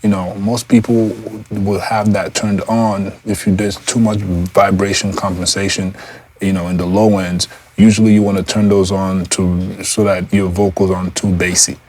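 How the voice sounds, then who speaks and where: A man with a deep voice giving a loose, rambling gear review indoors on a lavalier mic, full of fillers and restarts. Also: flat delivery, normal speed, darkish tone. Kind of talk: casual product review. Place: indoor room.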